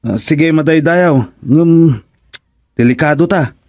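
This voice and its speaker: A man's voice: on the high side, slow, a little mellow. A man playing a timid, deferential soldier in a radio comedy drama, hesitant and respectful in his speech. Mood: puzzled.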